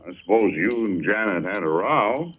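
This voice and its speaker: A man's voice: fairly low pitch, animated, normal speed. An actor playing a blunt, suspicious bricklayer stepfather with a challenging manner in a 1940s radio drama. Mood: needling, suspicious. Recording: noisy, crackly old radio recording.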